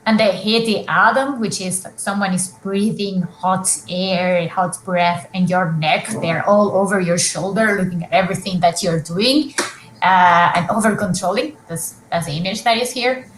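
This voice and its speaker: A woman's voice: lowish voice, normal speed, echoey room, slight background noise, poor audio. A woman presenting research at an academic conference, explaining examples step by step with frequent fillers and restarts over a video call.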